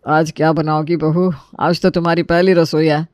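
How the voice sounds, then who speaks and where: Man acting a high-voiced, good-natured family man. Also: little pitch movement, normal speed, a little mellow, smooth, no echo, clean studio sound, lightly acted. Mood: curious.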